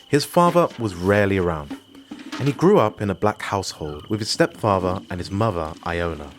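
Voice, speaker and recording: A man's voice: deep voice, expressive, fairly quick, slight background noise. A man from Morocco hosting a podcast, giving scripted documentary narration in an emphatic, personal storytelling manner. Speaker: podcast host.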